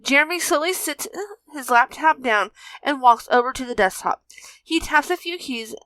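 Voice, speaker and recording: A woman's voice: high-pitched, expressive, normal speed, sharp tone, dry recording. A woman narrating a fan fiction story as a scripted reading.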